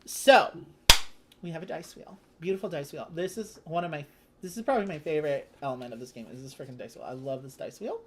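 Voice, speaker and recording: Chatty board game host, mid-pitched voice. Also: animated, fast talker, slight background noise.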